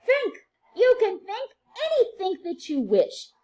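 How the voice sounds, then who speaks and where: A woman teacher reading a picture book aloud to children, playful and sing-song, with quieter chatty asides. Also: very high voice, wildly swooping pitch, takes it slowly.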